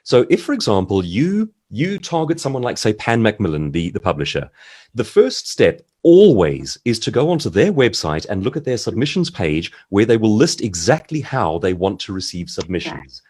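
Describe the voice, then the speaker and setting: A man's voice: lowish voice, very animated, moderate pace, a little mellow, breathy edge. A South African man giving conversational, articulate advice as a guest speaker on a video call. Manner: articulate, explanatory, acts out short quotes. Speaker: author, seminar guest speaker. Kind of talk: conversational expert advice in an online seminar.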